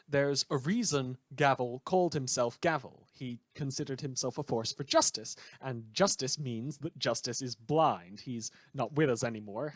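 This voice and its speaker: A man's voice: a little high, no echo. A man playing a sarcastic, indignant radio host in a superhero audio drama, delivering a satirical monologue with theatrical emphasis. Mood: wry. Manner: sardonic, theatrical emphasis, mock-hesitant asides.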